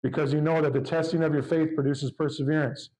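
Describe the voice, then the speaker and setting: A man delivers a sermon with a loud voice and fervent conviction. His tone is warm and mellow, and he reads scripture aloud to his congregation. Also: fairly high pitch, little pitch movement, fast, poor audio. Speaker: pastor.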